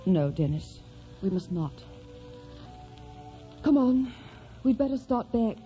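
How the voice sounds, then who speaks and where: A woman's voice: a little high, sing-song, slow pace, warm tone. An actress playing a tender, sorrowfully resigned young woman in love, in earnest, restrained old-time radio melodrama. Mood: guarded, reluctant. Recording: dull vintage radio recording with music.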